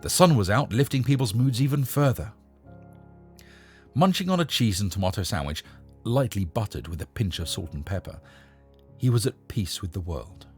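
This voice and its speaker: A man with a husky voice narrating a short story in a measured, expressive storytelling manner. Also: fairly low pitch, soft background music. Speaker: narrator and host reading short stories. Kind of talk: scripted story narration with a short casual introduction.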